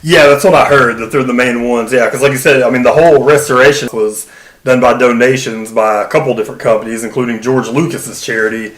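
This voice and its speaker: A man's voice: mid-range voice, fairly even intonation, brisk, fairly bright tone. Easygoing male co-host, roomy sound. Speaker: podcast co-host. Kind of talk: casual chat.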